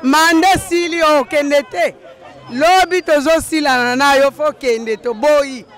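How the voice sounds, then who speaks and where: Extremely high-pitched Congolese male protester. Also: fairly even intonation, slow pace, a bit bright. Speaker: rally protester. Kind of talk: defiant political address. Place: outdoors at a city rally. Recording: outdoor street with crowd noise.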